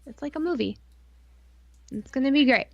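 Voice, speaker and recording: Irreverent woman with a slightly high voice. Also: normal speed, gravelly, highs cut off. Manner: irreverent, rambling, sweary. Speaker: podcast co-host on a live stream. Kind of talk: casual joking banter.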